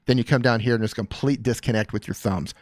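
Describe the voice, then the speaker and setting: A man's voice: medium pitch, fast talker, dry recording. A man hosting a live stream, giving casual, upbeat coaching advice on channels and reading viewer comments aloud. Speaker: YouTube coach reviewing channels.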